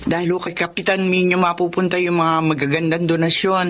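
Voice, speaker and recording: A woman's voice: fairly low pitch, flat delivery, brisk, fairly bright tone, natural voice. Woman acting plainspoken Filipino mother, faint noise. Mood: aggrieved. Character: caring, aggrieved mother of a young boy. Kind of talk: Filipino radio drama acting.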